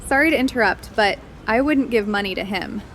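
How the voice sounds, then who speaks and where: A woman's voice: fairly high pitch, lively intonation, brisk, a bit bright, slight background noise. A woman playing an amused, forthright scientist in an audio drama, casual in manner.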